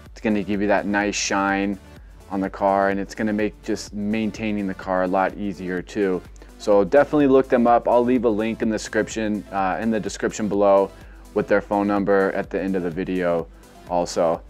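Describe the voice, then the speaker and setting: Brief: lower-voiced guy, laid-back how-to vlog narration, faint music under. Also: moderate pace. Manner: relaxed, rambling, filler-heavy explaining. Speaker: car detailing vlogger. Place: outdoor car wash bay.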